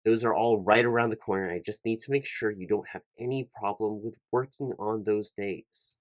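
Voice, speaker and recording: Guy acting as a polite fussy hiring manager for asmr roleplay, lowish voice, halting and formal, really dry close sound. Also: steady tone, no room sound, lightly acted. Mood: careful, formal. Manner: halting, repetitive, over-careful, formal. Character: polite, fussy, slightly flustered male corporate hiring manager. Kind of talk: one-sided ASMR job interview roleplay.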